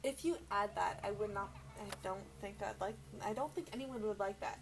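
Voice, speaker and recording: A woman's voice: mid-range voice, normal speed, noisy background. A woman co-hosting in casual chat, joking in a self-deprecating way. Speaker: co-host.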